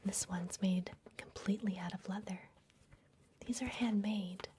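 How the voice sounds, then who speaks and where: A woman's voice: medium pitch, steady tone, a little hiss or hum, lightly acted. Whispering woman as a secretive vampire librarian. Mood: secretive, welcoming. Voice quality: breathy, mostly unvoiced whisper. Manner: soft, gentle, unhurried, confiding. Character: secretive, soothing female vampire librarian in a hidden fantasy library. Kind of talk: ASMR roleplay. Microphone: close ASMR microphone.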